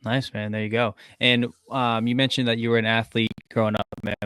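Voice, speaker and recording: A man hosting a podcast interview, asking questions in a quick, casual way and often calling his guest "man". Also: medium pitch, little pitch movement, a bit bright, clear, resonant tone, dry recording. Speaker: podcast host.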